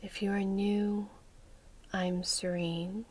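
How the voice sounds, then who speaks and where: A woman hosting an ASMR session in a soft, breathy, whispery voice, speaking gently and soothingly with measured phrasing. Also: medium pitch, flat delivery. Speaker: ASMR host.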